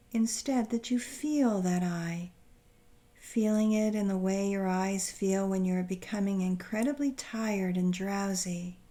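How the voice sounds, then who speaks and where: A woman narrating a scripted guided meditation in a soothing, gentle, deliberate manner with pauses. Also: mid-range voice.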